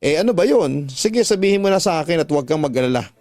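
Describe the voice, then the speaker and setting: A man's voice: fairly high pitch, expressive, quick pace, a little mellow, dry recording, faint music behind, close to an everyday voice. A man voicing an older gossipy neighbor woman, motherly, concerned and coaxing as she gives advice in a radio drama. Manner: coaxing, advising.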